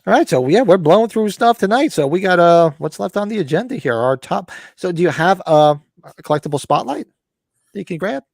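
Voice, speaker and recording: A man hosting a casual podcast chat over beers, hoarse and scratchy-throated, rambling and cursing as he talks. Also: high voice, expressive, fairly quick, no room sound, studio quality. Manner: rambling, cursing, self-interrupting. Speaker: podcast host.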